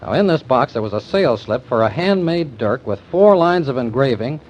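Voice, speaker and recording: An actor playing a brisk, confident young suspect. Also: male voice, mid-range voice, expressive, close to an everyday voice. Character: resourceful young man, accused suspect. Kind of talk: 1940s radio acting. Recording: dull old radio recording.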